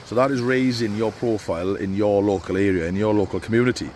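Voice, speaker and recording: A man's voice: lowish voice, fairly even intonation, fast talker, darkish tone. A man with a cold, giving casual, rambling advice to barbers from a beach. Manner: rambling, with many fillers and restarts. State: stuffy with a cold. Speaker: barber coach. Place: outdoors on a beach. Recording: faint outdoor noise.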